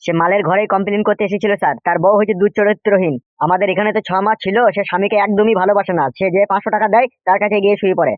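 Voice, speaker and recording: A man's voice: high voice, fairly even intonation, racing, few pauses, no echo, phone-quality sound, natural voice. A man voicing a crude, fawning, chattering henchman loyal to a crooked boss in a comedy cartoon. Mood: earnest, fawning. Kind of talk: animated comedy cartoon dialogue.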